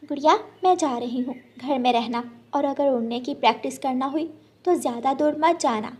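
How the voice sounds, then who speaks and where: A woman's voice: high voice, moderate pace, darkish tone, breathy edge. A woman voicing a protective, anxious mother bird in a children's cartoon, motherly and fretful in manner. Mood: instructing. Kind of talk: Hindi children's cartoon story acting.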